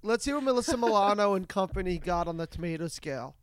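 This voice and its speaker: High-pitched man hosting a comedy podcast. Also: animated, fast, over a noisy crowd. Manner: informal, teasing, stumbles over words. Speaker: podcast host. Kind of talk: casual comedic panel chat about movies.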